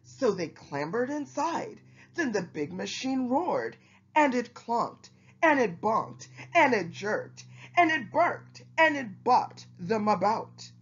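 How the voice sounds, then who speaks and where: A woman reading a rhyming children's storybook aloud in an expressive narrating style. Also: fairly high pitch, slow, husky, highs cut off. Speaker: storybook reader.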